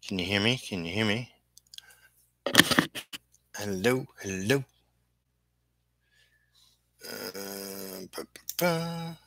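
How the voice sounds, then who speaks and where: A man chatting casually on a livestream as an artist, halting and apologetic, often muttering to himself. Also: lowish voice, lively intonation, creaky, fairly bright tone, dry recording. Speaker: comic book inker livestreaming his work.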